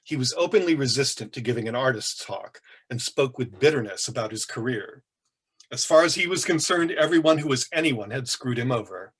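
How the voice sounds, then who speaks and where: A man, an author, reading first-person literary narration aloud in a measured, dry manner. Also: mid-range voice, expressive, husky. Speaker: author reading from own book.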